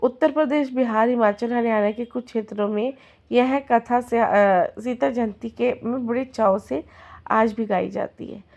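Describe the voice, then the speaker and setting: A hoarse woman narrates a devotional folk tale, slipping into rhythmic chanted refrains. Her voice sits somewhat high for a woman, with a worn, rough edge. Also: normal speed, darkish tone, lo-fi sound. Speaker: devotional storyteller.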